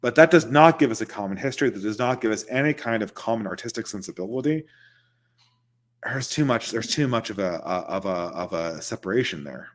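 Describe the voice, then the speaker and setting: A man's voice: lowish voice, lively intonation, fairly quick. Man musing aloud with frequent chuckles. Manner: chuckles between points, talks loosely and conversationally. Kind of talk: casual livestream commentary.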